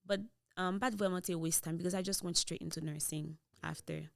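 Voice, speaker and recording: Haitian-accented woman, smooth lowish voice. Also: a little fast, no room sound. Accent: Haitian Creole. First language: Haitian Creole. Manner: switches into Creole mid-sentence, relaxed storytelling. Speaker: podcast guest. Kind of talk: casual interview chat. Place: podcast studio indoors. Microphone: boom-mounted studio mic.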